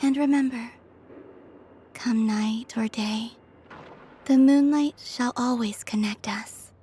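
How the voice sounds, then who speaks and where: Game casting: gentle moon maiden, whispery and tender, slow, slightly high voice. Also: female voice, fairly even intonation, a little hiss or hum. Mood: tender, wistful. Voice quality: breathy, whispery. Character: gentle, serene young moon maiden, soft and wistful. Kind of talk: video game voice acting.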